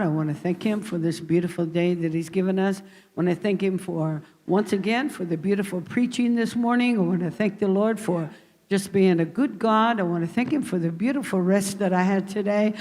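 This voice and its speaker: A woman's voice: medium pitch, brisk, a little mellow. Fervent woman giving thanks. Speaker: church member giving testimony. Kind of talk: praise testimony in church. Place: church hall. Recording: long reverb of a church hall.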